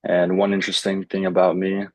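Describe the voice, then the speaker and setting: A young man whose first language is Lithuanian, introducing himself slowly over a video call, with some hesitant fillers. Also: sits low, monotone, darkish tone. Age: young adult. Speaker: student. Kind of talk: self-introduction.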